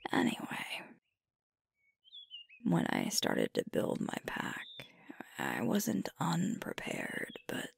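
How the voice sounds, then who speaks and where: A woman playing a sweet, earnest young werewolf pack leader in an intimate ASMR girlfriend roleplay, breathy and tender, close to the microphone. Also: extremely low pitch, wildly swooping pitch, exaggerated voice. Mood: vulnerable, tender. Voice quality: breathy, whispery. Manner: slow, tender, hesitant, with pauses. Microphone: close microphone, dry.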